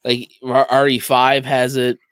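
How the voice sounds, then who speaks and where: A man's voice: mid-range voice, completely flat delivery, unhurried, a bit bright, no room sound. A man casually explaining gaming news as a podcast co-host.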